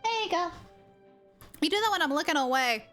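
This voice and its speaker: A woman live streamer giving casual, playful game commentary in a chatty, jokey, excitable way. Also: very high voice, fairly quick. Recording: game music behind.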